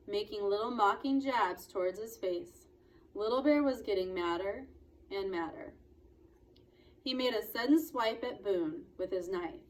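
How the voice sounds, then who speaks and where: A woman narrating a children's book expressively, with deliberate, dramatic phrasing. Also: mid-range voice, poor audio. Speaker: read-aloud storyteller.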